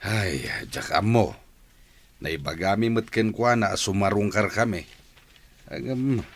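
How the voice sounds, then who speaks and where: Guy playing a worried but forgiving dad in a radio drama, really low voice. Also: slow pace. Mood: friendly. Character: caring family father, an uncle, worried but forgiving. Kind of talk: Filipino audio drama acting.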